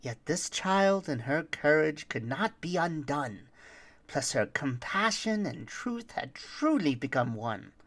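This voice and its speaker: A performer voicing a boastful, roguish thief who tells a rhyming holiday tale with dramatic, deliberate delivery. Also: mid-range voice, big pitch swings, a bit bright, heavily acted. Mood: sly, wistful. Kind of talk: theatrical rhymed storytelling.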